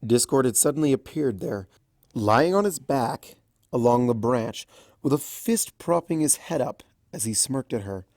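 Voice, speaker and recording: A narrator reading fan fiction aloud as an expressive scripted storybook narration. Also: medium pitch, a little slow, no room sound, studio quality.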